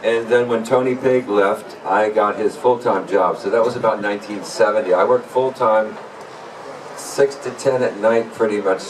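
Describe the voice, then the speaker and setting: A man's voice: fairly low pitch, fairly even intonation, normal speed, a crowd in the background. A man in his sixties, a former disc jockey, earnestly reminiscing to a live audience. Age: 60s. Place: live event room with audience. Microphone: event microphone, recorded from a distance. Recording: distant mic in noisy room.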